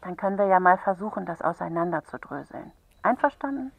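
A woman acting in a children's radio play as a patient, caring helpline counsellor who questions gently and attentively. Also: lowish voice, lively intonation, fairly quick, creaky, phone-quality sound.

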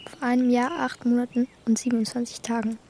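A woman's voice: fairly high pitch, little pitch movement, fast talker, darkish tone, a little hiss or hum, lightly acted. A woman playing Martha, a bold, blunt young girl carrying grief, who talks in colloquial slang in a children's radio play. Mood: bitter grief.

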